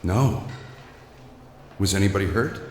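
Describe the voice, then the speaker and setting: A man's voice: low-pitched, unhurried, a little mellow, slight background noise. An actor playing a powerful businessman unravelling in dread, theatrical and haunted, in a horror audio drama. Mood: alarmed. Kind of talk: horror audio drama, first-person narration and monologue.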